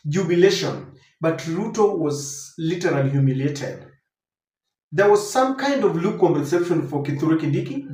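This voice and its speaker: A man with a Kenyan accent giving unscripted political commentary to viewers, emphatic and deliberate, repeating his points. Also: a little high, darkish tone. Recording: roomy, echoing voice recording.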